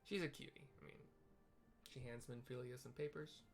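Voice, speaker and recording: A man's voice: mid-range voice, fairly even intonation, moderate pace. A man giving casual, hesitant and self-deprecating game commentary, rambling as he describes what is on screen. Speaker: video game streamer and narrator. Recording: clearly audible background noise.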